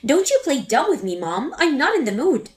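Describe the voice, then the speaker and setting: A woman's voice: high-pitched, very animated, moderate pace, faint music behind. A woman voicing an angry grown daughter who confronts her mother sharply. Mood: indignant. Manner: sharp, indignant.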